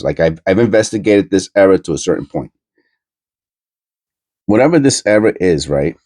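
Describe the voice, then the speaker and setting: Middle-aged New York man, stuffy and nasal, very low voice, dry recording, meandering and blunt. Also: a little mellow, dry recording. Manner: blunt, meandering, many fillers. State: nasal from a cold. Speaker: coin-collecting channel host. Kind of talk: rambling casual monologue.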